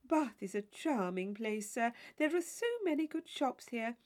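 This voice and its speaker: A woman's voice: on the high side, expressive, a little slow, darkish tone. A woman voicing a fussy, chatty married lady in a storybook reading, gushing and rambling about small matters. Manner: gushing, rambling, easily impressed. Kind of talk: audiobook character dialogue.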